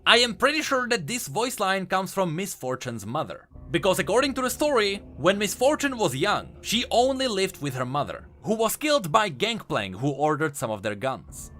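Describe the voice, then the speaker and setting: High-voiced, animated male explainer. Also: moderate pace, few pauses. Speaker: video host, game lore commentator. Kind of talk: scripted explainer narration.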